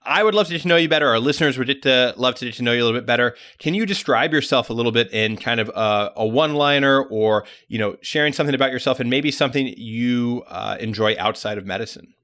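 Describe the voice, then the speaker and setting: A man's voice: medium pitch, fast talker, few pauses, bright, forceful tone. A man hosting a podcast, speaking in casual, chatty interview style. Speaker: podcast host.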